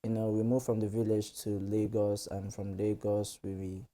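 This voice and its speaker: A man's voice: fairly low pitch, monotone, moderate pace, mellow. A young man from Nigeria with a Nigerian accent, a guest telling stories casually, full of fillers and laughs. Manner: chatty, many "you know" fillers, laughs often. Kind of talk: casual storytelling interview.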